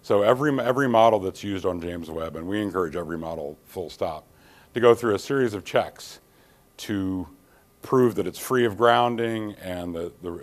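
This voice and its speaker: A middle-aged man giving a technical conference presentation in a dim hall, speaking in a measured way with frequent ums and restarts. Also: deep voice. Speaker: keynote speaker, engineer. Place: dim conference hall, standing by projector screen.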